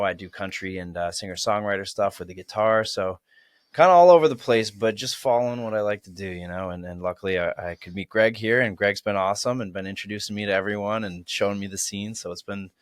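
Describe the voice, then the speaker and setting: A young man in his twenties from Maine, a guest talking about himself in a relaxed, friendly way. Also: fairly low pitch, fairly quick, no room sound, studio quality. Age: 20s. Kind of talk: casual interview. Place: small studio. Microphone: studio mic on arm.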